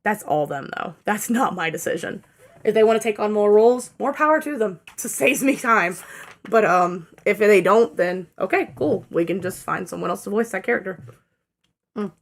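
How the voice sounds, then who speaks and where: A young woman rambling through a casual channel update, full of fillers and self-corrections, her throat dry and strained and her energy low from fatigue. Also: normal speed. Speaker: channel owner giving an update.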